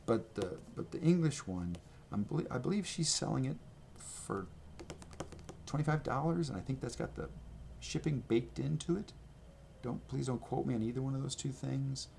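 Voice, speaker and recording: Gravelly-voiced man rambling to camera. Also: medium pitch, lively intonation, moderate pace, darkish tone, a little hiss or hum. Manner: rambling, self-correcting, chatty. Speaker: video creator. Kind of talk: casual rambling vlog talk. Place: indoors at home. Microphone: camera mic.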